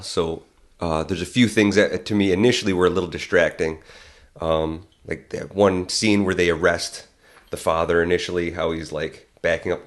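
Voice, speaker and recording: A man co-hosting a movie podcast, telling stories in a rambling way with lots of "like", his voice a little strained and hoarse. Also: low-pitched. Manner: rambling storytelling with many likes. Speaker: podcast co-host. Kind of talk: casual film discussion.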